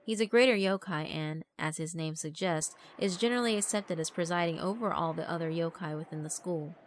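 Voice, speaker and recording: A woman's voice: fairly low pitch, a little fast, slight background noise. A woman giving scripted narration for a horror visual novel. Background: background music.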